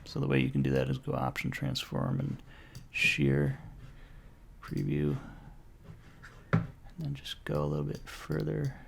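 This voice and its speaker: Gravelly man rambling through a tutorial. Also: mid-range voice, sing-song, unhurried, long pauses. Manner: rambling, jokey self-talk with mild swearing. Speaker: software tutorial maker. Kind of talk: casual screen-recorded tutorial.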